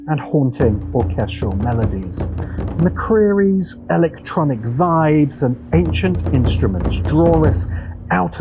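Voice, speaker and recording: A man narrating a scripted video essay with dramatic, deliberate phrasing and weighty pauses. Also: medium pitch. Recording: music behind the voice. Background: music.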